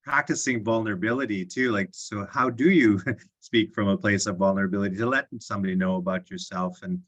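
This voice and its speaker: Bright-voiced male moderator. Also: fairly low pitch, steady tone, brisk. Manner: affirming, reflective, repeats words. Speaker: session moderator. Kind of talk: moderating online panel.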